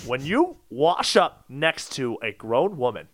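A man's voice: mid-range voice, sing-song, slow. A man co-hosting a podcast, arguing insistently in a casual, comedic debate. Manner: insistent, argumentative. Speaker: podcast co-host.

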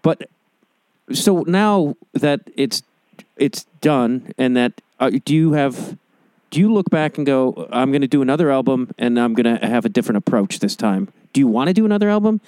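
Male interview host, rambling and casual with easy swearing; lively intonation, dry close sound. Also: mid-range voice, moderate pace, smooth, no echo, low-quality recording. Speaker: podcast host.

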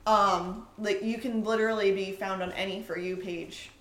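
A woman's voice: medium pitch, fairly even intonation, moderate pace. A young woman talks casually in an echoey room. She has a mid-range voice and tells things with keen, emphatic enthusiasm, like a guest on an informal podcast. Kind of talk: casual chat.

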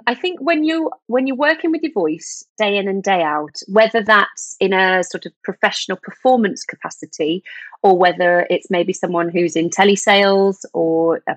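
A woman's voice: medium pitch, moderate pace, no room sound. Woman explaining vocal health. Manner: fluent and informative, with frequent "um" and small restarts. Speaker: vocal health expert guest. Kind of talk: podcast interview, explanatory.

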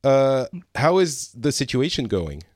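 A man in his thirties hosting a podcast, his mid-pitched voice recorded close and dry with no room sound. He talks casually and haltingly, stopping and restarting often with plenty of fillers. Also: sing-song, no echo. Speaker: podcast host. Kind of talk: casual interview chat.

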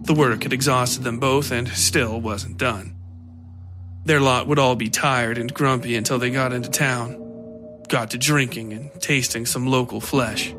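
A male narrator reads a scripted piece of dark fiction. His voice sits at an average pitch. Music plays clearly underneath the reading. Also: steady tone, unhurried. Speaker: story narrator.

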